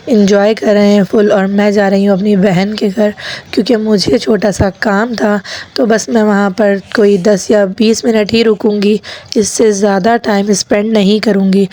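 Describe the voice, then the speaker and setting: A woman in her thirties with a hoarse voice from a sore throat, chatting to viewers as she narrates her family vlog. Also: mid-range voice, dark tone. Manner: chatty, addresses viewers directly. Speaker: vlogger. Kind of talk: casual vlog voice-over narration.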